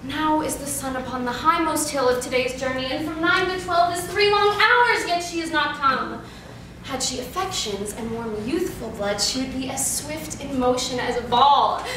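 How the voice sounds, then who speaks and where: Heard in a very echoey room with noise behind, a woman acts an eager young noblewoman in love. Her very high voice pleads impatiently in a declamatory classical theatre manner. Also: moderate pace, close to an everyday voice. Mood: impatient longing. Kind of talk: classical stage acting of Shakespeare. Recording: echoey room, distant mic, clear noise.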